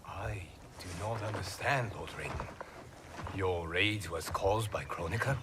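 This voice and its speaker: An actor playing an earnest, intense warrior monk hero in a dramatic video game cutscene. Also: male voice, sits low, measured pace, background noise. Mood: bewildered.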